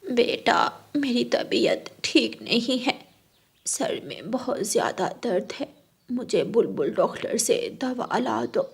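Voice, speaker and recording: A cruel old mother-in-law bird from a cartoon played by a woman. She scolds and commands with open scorn. The voice is female, slightly husky and greedy in temper. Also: fairly high pitch, expressive, slow, exaggerated voice. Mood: demanding. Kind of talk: Hindi cartoon story acting.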